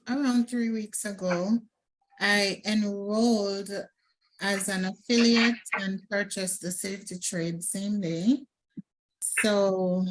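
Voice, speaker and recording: A woman from Florida speaks on a call with a slow, unhurried pace. She pauses often and fills gaps with small hesitations. Her pitch sits in an ordinary middle range. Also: poor audio. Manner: slow, halting, with frequent fillers. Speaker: caller. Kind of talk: casual testimonial on a call. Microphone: video call.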